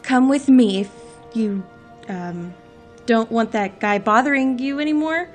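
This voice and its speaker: Businesslike female agent, played by an actress, dry deadpan audio drama delivery, fairly high pitch, music bed. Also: unhurried, natural voice. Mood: brisk, deadpan. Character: dry, no-nonsense woman agent who hunts contraband sellers.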